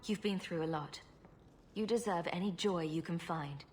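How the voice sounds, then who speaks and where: An actress playing a calm, direct and compassionate adventurer heroine in a video game. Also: female voice, on the low side, normal speed, faint music behind, lightly acted. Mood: kind, firm. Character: composed, compassionate adventurer heroine, mistress of the house.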